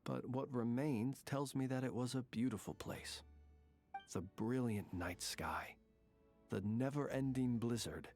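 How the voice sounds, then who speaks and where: An actor playing an ancient dragon prince in a video game, calm and reflective, with formal, measured, courteous speech. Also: male voice, mid-range voice, soft background music, natural voice. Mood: wistful. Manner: formal, measured, old-fashioned courteous phrasing. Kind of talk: scripted game character dialogue.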